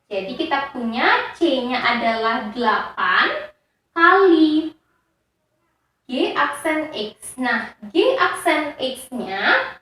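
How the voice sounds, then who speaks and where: Woman math teacher, patient and repetitive, slightly high voice, recorded from a distance in an echoey room. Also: pushed and bright. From Indonesia. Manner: patient, repeats steps, checks with students. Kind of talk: recorded math lesson for students. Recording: roomy echo, voice from far off.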